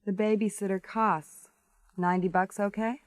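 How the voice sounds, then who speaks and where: A woman's voice: medium pitch, steady tone, moderate pace, no room sound, studio quality, natural voice. A woman playing a frank, worldly, businesslike American woman in a naturalistic radio drama. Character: frank, worldly woman who charges for company.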